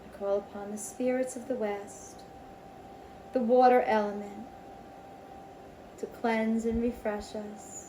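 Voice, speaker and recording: A woman leading a spiritual circle in a slow, ceremonial manner, with guided meditation and invocations and pauses between phrases. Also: a little high, little pitch movement, warm tone, a little hiss or hum, poor audio. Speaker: Reiki circle leader.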